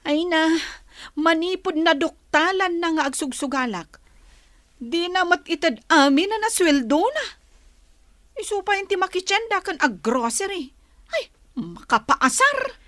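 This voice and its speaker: A woman's voice: very high voice, expressive, unhurried, fairly bright tone, no echo, studio quality, full character voice. A woman with an Ilocano accent playing a defiant, selfish young wife who whines and argues in a radio drama. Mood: complaining. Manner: whiny, argumentative.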